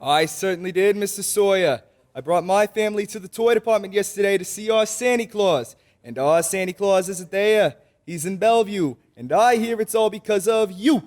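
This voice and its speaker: A man playing a bossy, irate department store owner with a gruff, commanding manner in a radio drama. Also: high voice, normal speed, darkish tone, natural voice. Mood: stern. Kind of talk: old-time radio drama acting.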